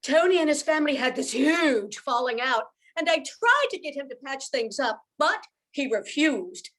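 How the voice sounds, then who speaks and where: A woman's voice: sits high, very animated, moderate pace, fairly bright tone. An older woman playing an anxious, tender young widowed mother in an old-time radio soap. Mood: anxious, earnest.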